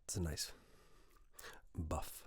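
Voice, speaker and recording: A man doing a soft-spoken ASMR roleplay as a tailor, breathy and often whispered, slow and reassuring, close to a binaural microphone. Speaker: ASMR performer playing a tailor taking measurements.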